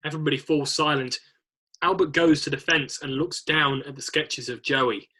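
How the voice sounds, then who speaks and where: Casting: male narrator for a screenplay reading, bright forceful tone with a husky edge. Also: fairly high pitch, steady tone, moderate pace. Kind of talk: scripted reading of screenplay stage directions.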